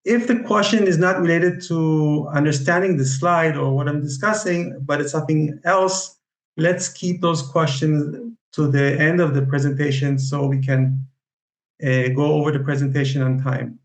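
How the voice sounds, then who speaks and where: A man with an Israeli accent giving an online lecture on Chinese medicine, speaking haltingly with many fillers and restarts. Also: fairly high pitch, steady tone, reverberant. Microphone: video call.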